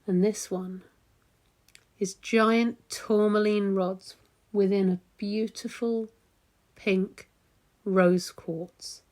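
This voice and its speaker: Lady doing guided meditation, really slow and soft toned, mellow relaxed voice, long gentle pauses. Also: mid-range voice.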